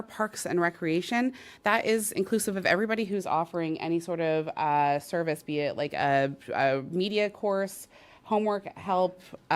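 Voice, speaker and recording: Low-voiced woman giving an earnest speech. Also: clean tone. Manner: earnest, many fillers and restarts. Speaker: parks official giving a speech. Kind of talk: public speech at an event.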